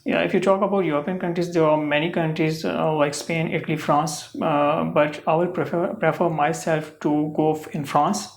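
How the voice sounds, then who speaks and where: A young adult from Pakistan, whose first language is Urdu, answers exam questions in Pakistani-accented English. The replies come haltingly, padded with uh and um and built from simple phrasing, at a middling pitch. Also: steady tone. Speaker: test candidate.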